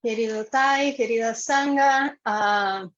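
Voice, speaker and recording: Unhurried Brazilian woman on video call. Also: on the high side, fairly bright tone, highs cut off. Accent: Brazilian Portuguese. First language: Portuguese. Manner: unhurried, searching for words with many pauses. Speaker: community member sharing. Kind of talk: online meeting talk. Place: home room with a fan.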